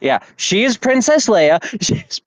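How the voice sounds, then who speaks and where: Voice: medium pitch, sing-song, unhurried, no room sound. A speaker in casual podcast banter, rambling, repeating phrases and swearing freely. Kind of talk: casual chat, podcast banter about pop culture.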